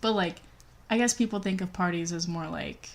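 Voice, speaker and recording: Blunt, sweary young woman with a lowish voice. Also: normal speed, creaky, slight background noise. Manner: blunt, sweary, deadpan asides. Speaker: podcast co-host. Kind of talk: casual chat.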